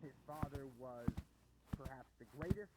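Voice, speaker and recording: A man talking about history in a halting, emphatic, opinionated way, as in a documentary. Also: medium pitch, expressive, poor audio.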